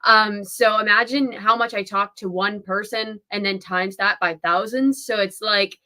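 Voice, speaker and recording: A young woman co-hosting a casual live stream, her voice raspy and hoarse from a busy weekend, bubbly and quick to giggle. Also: mid-range voice, steady tone, sharp tone, poor audio. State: hoarse, voice nearly gone. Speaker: co-host.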